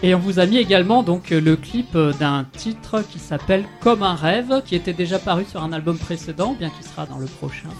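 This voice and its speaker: Male radio host, higher voice. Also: expressive, fairly quick, hardly any pauses, faint music behind. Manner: friendly questions with fillers. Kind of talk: radio interview.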